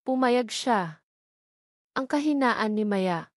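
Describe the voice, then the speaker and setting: A woman's voice: a little high, expressive, slow pace, dry recording, studio quality. A woman reading a scripted dramatic audio story as its narrator.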